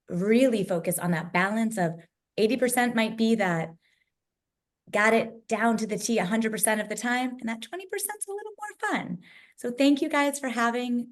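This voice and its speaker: A woman with a low voice, moderating a virtual panel discussion over a video call, emphatic and fond of building lists. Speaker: summit moderator.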